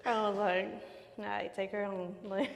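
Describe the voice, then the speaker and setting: Gravelly-voiced chatty young woman. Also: mid-range voice, fairly even intonation, measured pace. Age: 20s. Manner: chatty, laughing, slangy. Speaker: guest sister. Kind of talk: casual conversation. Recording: echoey room with long reverb.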